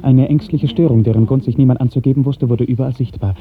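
A man narrating a literary radio drama in 1950s German style, with measured, lyrical storytelling. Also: medium pitch, fairly even intonation, crowd noise. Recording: muffled, narrow-band 1950s radio recording.

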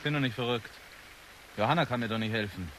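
A male actor plays a troubled son in his twenties in a radio drama. He is secretive and subdued yet insistent, with a medium-pitched voice and faint noise in the recording. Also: quick pace, fairly bright tone, natural voice, low-quality recording. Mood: defensive. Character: troubled 23-year-old son, secretive, pleading, confessing killer. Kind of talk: German radio drama acting.

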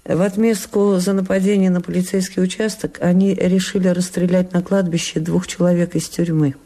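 A troubled woman in a secret love affair, in a radio drama. She speaks intimately and with subdued anguish, resigned to the fear around her. Her voice is dark and husky. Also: lowish voice, little pitch movement, a little fast. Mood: grim. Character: Martha, anxious, resigned secret lover of hotel owner. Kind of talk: Soviet radio drama acting.

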